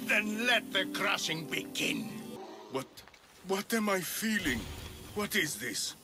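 An actor voicing a vain, boastful male warrior who brags in a slow, self-satisfied way. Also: sits high, animated, over music. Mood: bewildered.

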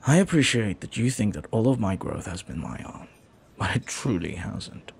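A man playing a flirty, earnest pirate captain in an intimate audio roleplay, speaking softly and haltingly with tender devotion. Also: fairly low pitch, gravelly, a little hiss or hum. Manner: soft, halting, tender, sometimes near whisper.

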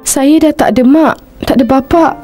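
A woman's voice: high voice, a little fast, faint music behind, natural voice. A woman voicing a polite, sad young orphan girl in a cartoon. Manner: soft-hearted, polite. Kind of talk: cartoon voice acting.

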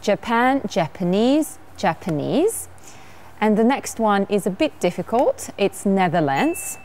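A woman's voice: medium pitch, very animated, a little slow, darkish tone. A young woman from Georgia with a Georgian accent, co-hosting a televised English lesson in a studio, cheerful and laughing often. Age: young adult. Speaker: English teacher co-host. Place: TV studio set.

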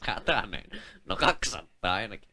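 A man voicing a hesitant but stubborn seller in a comic amateur dub, speaking in short, halting phrases. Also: on the low side, sing-song, pushed and bright, heavily acted. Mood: stubborn haggling. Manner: halting, terse.

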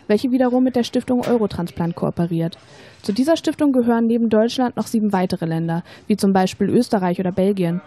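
A woman plays a young female student in an educational radio play. Her voice is mellow and mid-pitched. She explains patiently and persuasively, in measured, scripted sentences. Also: close to an everyday voice. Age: young adult.

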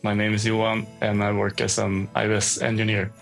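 A man, an engineer, giving a brisk scripted tip with a light teasing joke. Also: fairly low pitch, completely flat delivery, vocal fry, over music. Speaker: iOS engineer.

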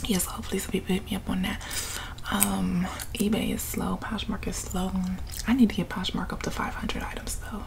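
A woman's voice: mid-range voice, level tone, brisk, vocal fry. A young woman whispering a chatty, laughing ASMR ramble in her living room, talking to the camera in front of her. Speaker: ASMR vlogger. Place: living room with couch, indoors.

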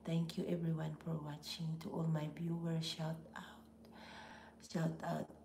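A middle-aged woman with a Filipino accent, hoarse from laryngitis, hosting a casual live stream from home with slow, half-whispered greetings. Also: deep voice, completely flat delivery, warm tone, reverberant, a little hiss or hum. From Philippines. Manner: breathy, half-whispered, slow with frequent pauses and throat clearing. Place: small home office room indoors.

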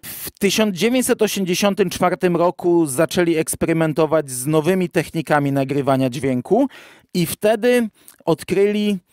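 Podcast host: man, voice a little above average pitch, casual explainer, very dry sound. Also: moderate pace, darkish tone, no echo.

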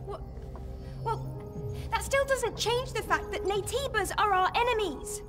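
A woman's voice: very high-pitched, unhurried, recorded from a distance, over music. A woman playing an earnest, girlish young engineer companion in a video game. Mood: shocked, insistent. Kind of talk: cinematic video game dialogue.

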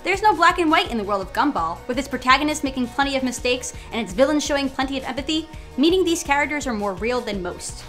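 A woman's voice: sits high, animated, brisk, few pauses, music in the background. A woman, presenting a scripted analysis.

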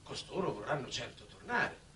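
A man's voice: mid-range voice, very animated, moderate pace, pushed and bright, distant mic. An actor playing an earnest, insistent statesman in a 1960s Italian television drama, speaking in a formal, oratorical way. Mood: solemn persuasion. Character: earnest, insistent diplomatic statesman on a space mission. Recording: echoey, noisy old 1960s TV soundtrack.

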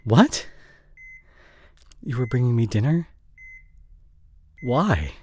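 A young man playing a remorseful drinking boyfriend in an intimate audio roleplay, speaking in a halting, apologetic, soft and pleading way. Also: mid-range voice, sing-song, husky, full character voice. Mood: troubled.

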